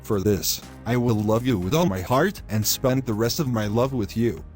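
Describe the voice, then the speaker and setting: A man acting a pushy, low-voiced selfish boyfriend over music. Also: moderate pace, darkish tone, close to an everyday voice. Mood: sweet-talking. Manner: formal wordy phrasing, insistent. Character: pushy, selfish young boyfriend pressuring his girlfriend. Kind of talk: scripted moral-story dialogue.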